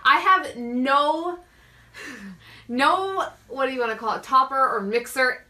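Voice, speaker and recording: A woman's voice: high voice, animated, unhurried, pushed and bright. A woman co-hosting a talk show, chatting informally and bubbly as she explains a drink recipe. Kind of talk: casual chat, demonstrating a drink recipe. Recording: voice some distance from the mic.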